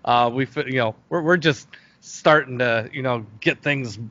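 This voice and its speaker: A middle-aged man answers questions in a casual, conversational way, often backing up to rephrase. His voice sits at a medium pitch. The recording is dull and lo-fi, with hardly any room sound. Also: normal speed, fairly bright tone, dry recording. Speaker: interview guest, fantasy film producer.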